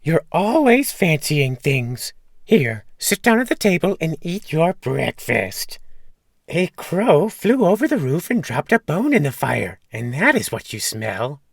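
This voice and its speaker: A man's voice: fairly high pitch, big pitch swings, measured pace, vocal fry, no echo, studio quality, pitched above the performer's own voice, full character voice. A man voicing a giant's grumpy, fretful wife in a storybook, with a scolding, exclamatory manner. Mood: dismissive, soothing. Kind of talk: storybook character voice.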